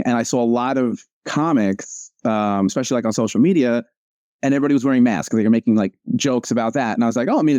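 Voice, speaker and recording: A man, a comic strip creator, chatting casually as a guest, relaxed and filling his talk with "like" and "um". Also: medium pitch, smooth, no room sound, dull audio. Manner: relaxed, frequent "like" and "um". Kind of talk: casual conversation.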